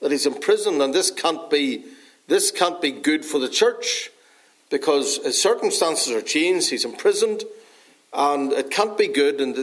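A man from Northern Ireland with a Northern Irish accent, a pastor giving an earnest sermon in an unhurried way with frequent pauses and fillers, slightly hoarse from a dry cough. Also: on the high side.

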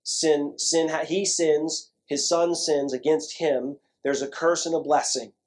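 Emphatic preaching man, fairly high voice. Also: fairly even intonation, a little slow, a little mellow. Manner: emphatic, repetitive preaching with asides to the congregation. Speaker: pastor. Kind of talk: sermon.

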